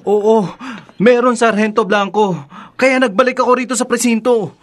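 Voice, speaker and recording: A man's voice: very high-pitched, fairly even intonation, moderate pace. An actor playing a bruised young man who respectfully urges a police sergeant to help him. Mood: urgent.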